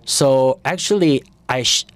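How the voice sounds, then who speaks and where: A man interpreting a conference speech live, in an ordinary mid-pitched voice. He speaks haltingly, breaking off and restarting as he keeps up with the speaker. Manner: halting, with frequent fillers and restarts. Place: conference hall.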